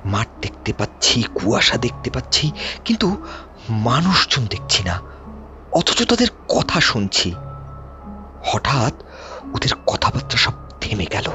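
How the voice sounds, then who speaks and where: An actor playing a restless, frightened young man who haltingly recounts a nightmare in an audio drama. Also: lowish voice, full character voice. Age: young adult. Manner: anxious, halting, dramatic delivery. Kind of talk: dramatised audio story storytelling. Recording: slightly muffled, loud background music. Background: prominent music.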